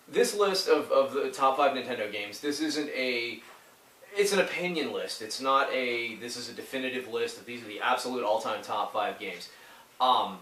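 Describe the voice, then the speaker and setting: A man in his thirties chatting casually about his favorite old games, stuffy from a head cold. He rambles, trails off and starts his sentences over, his voice landing at a middle pitch. He sounds a little off mic, in a room with a noticeable echo. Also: pushed and bright, recorded from a distance. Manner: rambling, full of fillers and restarts. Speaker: YouTuber reviewing retro games. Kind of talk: casual talk to camera.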